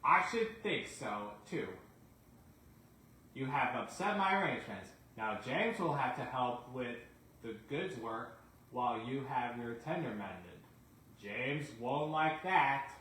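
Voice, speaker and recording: A man acts out a stern, formal storybook railway controller, scolding and deliberate. The pace is slow and the room rings with heavy echo. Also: medium pitch, expressive, bright, forceful tone, far from the mic, slight background noise. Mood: annoyed. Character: stern, annoyed male railway boss, fair but indecisive.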